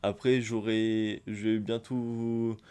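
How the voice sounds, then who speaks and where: A man's voice: lowish voice, level tone, slow pace, warm tone, clean tone. A man with a cold chatting casually while opening packs in a football video game, rambling with many hesitations. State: has a cold, hoarse. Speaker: gaming youtuber. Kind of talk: casual pack-opening commentary.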